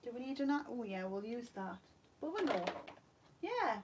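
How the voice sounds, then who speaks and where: A woman's voice: a little high, sing-song, moderate pace, background noise. A woman in her thirties with a Geordie accent, chatting casually on a makeup livestream, a bit tired, reading out viewer comments from her bedroom webcam. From North East England. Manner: chatty, reads viewer comments aloud, laughs easily. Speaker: beauty vlogger. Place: bedroom at home, indoors. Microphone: webcam at desk.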